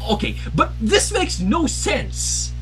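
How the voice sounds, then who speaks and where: A man's voice: very high voice, huge pitch swings, slow, a bit bright, soft background music, pitched above the performer's own voice, full character voice. A man voicing a hot-tempered young male dragon with whiny, outraged outbursts in a fan fiction reading. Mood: confused, exasperated.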